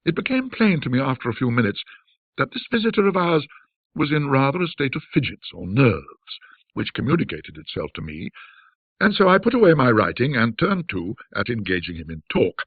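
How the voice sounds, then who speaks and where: Male narrator for literary ghost tales: husky, expressive intonation, measured and reflective. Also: medium pitch, dry recording, phone-quality sound. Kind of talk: scripted ghost story narration in classic British storytelling manner.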